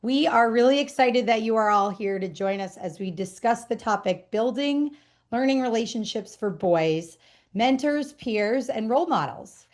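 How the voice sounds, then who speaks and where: Higher-voiced woman moderating a webinar. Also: expressive, moderate pace. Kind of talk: welcoming introductions, semi-scripted.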